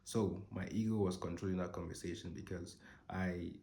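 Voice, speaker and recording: A man in his twenties, hoarse from a cold, telling a personal story slowly and thoughtfully to his channel. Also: low-pitched, fairly even intonation, warm tone, echoey room, a little hiss or hum. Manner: slow, thoughtful storytelling with pauses. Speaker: channel host. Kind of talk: reflective personal monologue.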